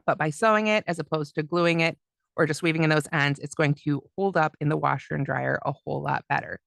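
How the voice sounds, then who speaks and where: A woman's voice: sits low, fairly even intonation, brisk, vocal fry, dry recording, clean studio sound. A woman teaching an online crochet class, walking through each step as she works with her hands in a friendly way. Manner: friendly, step-by-step, talks while demonstrating by hand. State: losing her voice, coughing. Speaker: crochet instructor. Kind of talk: live online craft class demonstration.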